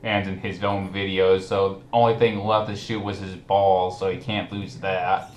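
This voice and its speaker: A man's voice: deep voice, flat delivery, moderate pace. A man hosting a casual group commentary chat, speaking in a mocking manner. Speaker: commentary video host. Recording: echoey room with clear background noise.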